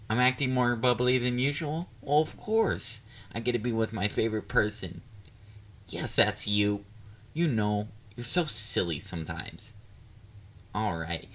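Slow-talking, bashful young catboy played by a man. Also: lowish voice, fairly bright tone. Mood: cheerful, affectionate. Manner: playful, dorky, flustered, hesitant. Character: bubbly, bashful young male catboy partner. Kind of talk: intimate roleplay audio drama.

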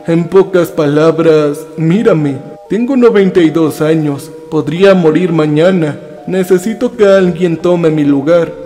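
Man doing cold old professor, ninety-two, slow persuasive talk, mellow tone, faint music behind. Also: on the high side, natural voice.

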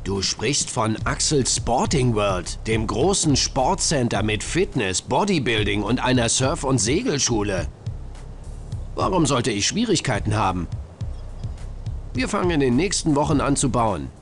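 A man's voice: mid-range voice, very animated, unhurried, soft background music. An actor playing a brash, greedy gym-owning businessman in a cartoon adventure game, boastful and dismissive. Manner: boastful, salesman-like.

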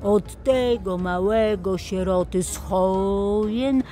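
A woman's voice: mid-range voice, slow pace, warm tone, faint music behind, natural voice. A woman voicing a dreamy toy digger who tells history like an old fairy tale for children. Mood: dreamy storytelling. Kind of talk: children's audio tale acting.

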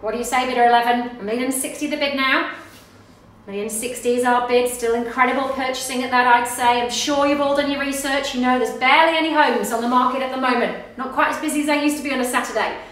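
A woman in her thirties with an Australian accent, working as an auctioneer and calling bids live with brisk, upbeat sales patter. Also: high voice, fairly even intonation, a bit bright, far from the mic. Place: small office studio in front of a backdrop. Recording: echoey room, voice heard from off the mic.

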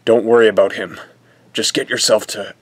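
An actor playing a grim, commanding masked vigilante in a superhero audio drama, terse and deadpan. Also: male voice, fairly low pitch, normal speed, no room sound, close to an everyday voice. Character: grim, commanding masked male vigilante hero, middle-aged.

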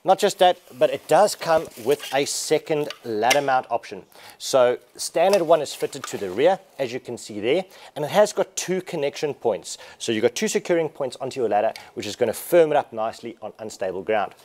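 A man's voice: mid-range voice, brisk, slight background noise. Man, thirties, casual product demo, warehouse showroom, camera mic. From Australia. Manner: casual, explanatory, lively. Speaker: product presenter. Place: large warehouse showroom indoors.

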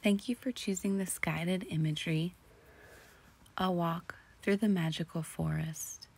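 Female meditation guide: very slow, soothing delivery, soft mellow tone, breathy and near-whispered. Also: lowish voice, steady tone. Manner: soothing, slow and deliberate with long pauses. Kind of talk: guided imagery relaxation narration.

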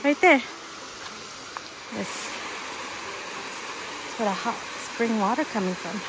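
A woman's voice: fairly high pitch, slow, very noisy. A woman narrating a casual travel vlog on her phone, commenting on the trail, the food and a campground. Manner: relaxed, remarks on sights and food. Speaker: vlogger. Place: outdoors on hikes, in a diner and in a car.

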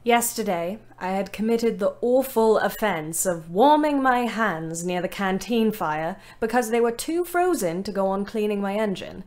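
An actress playing a weary young ambulance driver, narrating her diary in a measured, dryly wry way for an audio play. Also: mid-range voice, animated, darkish tone, lightly acted.

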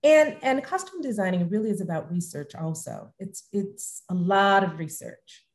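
Middle-aged woman host, medium pitch. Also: lively intonation, moderate pace, echoey room. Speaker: host, moderator. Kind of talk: conversational Q&A hosting. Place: bright living room at home, video call.

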